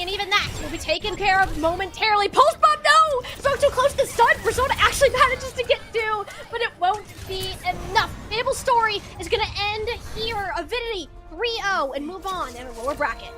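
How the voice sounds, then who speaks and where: A woman commentates live on a competitive video game match as a play-by-play caster. Her voice sits extremely high, and music runs clearly behind her. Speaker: esports commentator.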